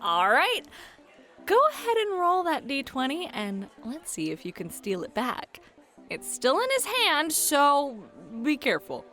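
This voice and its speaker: A woman playing a playful, flirty club hostess and game master in an ASMR roleplay, bubbly, teasing and expressive. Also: sits high, unhurried, exaggerated voice. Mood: playful, excited. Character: playful, flirty club hostess running tabletop adventure games. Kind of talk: scripted ASMR roleplay, acted. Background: background music.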